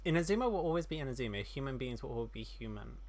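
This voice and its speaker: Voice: mid-range voice, lively intonation, very fast, darkish tone. Casual gaming streamer with keyboard noise. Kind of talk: casual let's play commentary.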